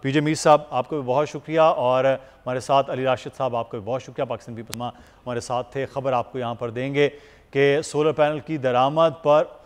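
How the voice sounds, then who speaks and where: A man's voice: medium pitch, steady tone, fairly quick, clear, resonant tone. A man with a Pakistani accent hosting a TV news talk show in a formal, wordy way. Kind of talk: news presenting, formal interviewing. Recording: long reverberant hall-like echo.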